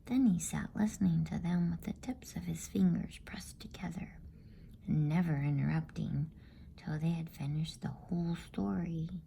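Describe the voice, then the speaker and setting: A woman reading a bedtime story in ASMR style, in a soft, breathy, soothing whisper. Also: on the low side, a little hiss or hum, poor audio. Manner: slow, soothing, hushed.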